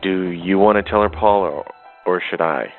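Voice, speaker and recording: An actor playing a brash, defiant younger brother in an audio soap opera, cocky and needling. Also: male voice, low-pitched, normal speed, faint music behind. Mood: insistent. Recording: dull, phone-like narrow sound.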